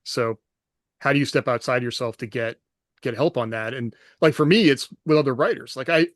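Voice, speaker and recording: A man co-hosting a podcast as an author, reasoning things through in a measured, casual way. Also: mid-range voice, no echo, studio quality. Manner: thoughtful, measured, many "you know" fillers.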